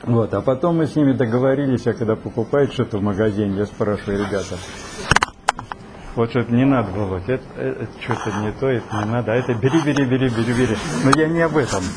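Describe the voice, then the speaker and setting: A man's voice: medium pitch, fast. Rambling man in his seventies, noisy recording. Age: 70s. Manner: rambling, folksy, many fillers. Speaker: participant. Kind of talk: casual storytelling.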